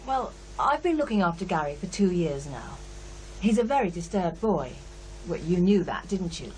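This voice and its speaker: An actress playing a candid, sceptical psychiatrist in a radio drama, with a hesitant, thoughtful way of talking. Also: female voice, fairly low pitch, big pitch swings, moderate pace, darkish tone, a little hiss or hum, low-quality recording. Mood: hesitant, confiding. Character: brisk, candid female psychiatrist, sceptical yet unsettled. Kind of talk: naturalistic radio drama dialogue.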